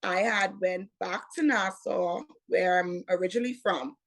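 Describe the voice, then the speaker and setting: Slow-talking Bahamian woman in her twenties. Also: fairly low pitch, a bit bright. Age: 20s. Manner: unhurried, repeats "what had happened was". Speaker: interview guest, entrepreneur. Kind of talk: casual interview storytelling.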